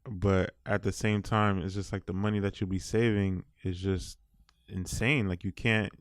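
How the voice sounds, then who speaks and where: A man's voice: deep voice, flat delivery, normal speed, no room sound, studio quality. A man co-hosting a podcast, laid-back and giving short, easy replies. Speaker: podcast co-host. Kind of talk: casual podcast chat.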